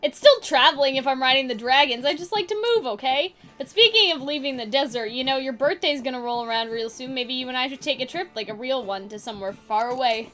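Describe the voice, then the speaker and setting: Lady doing upbeat adventurous game sister, high bright voice, bit of music behind. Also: quick pace, hardly any pauses. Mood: cheerful, coaxing. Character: Yang, upbeat adventurous sister who loves traveling.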